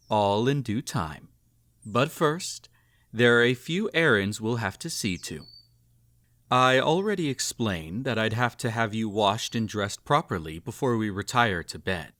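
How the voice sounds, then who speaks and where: A man's voice: lowish voice, fairly bright tone. A man playing a cruel, possessive emperor in a roleplay audio drama, speaking in a formal, pompous, teasing and condescending way. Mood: silky, commanding. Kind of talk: scripted roleplay audio drama, intimate address to listener.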